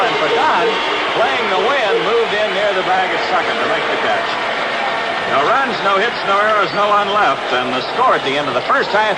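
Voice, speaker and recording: A very noisy 1960s outdoor radio broadcast carries an American man calling baseball. His voice sits fairly high and moves widely in pitch. He reports each play in short, clipped phrases. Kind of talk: live radio play-by-play commentary.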